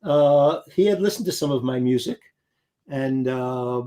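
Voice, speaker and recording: A man's voice: mid-range voice, expressive, measured pace, dark tone. An older man from New York City, a guest musician telling his story in a rambling, anecdotal way with many fillers. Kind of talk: casual interview chat.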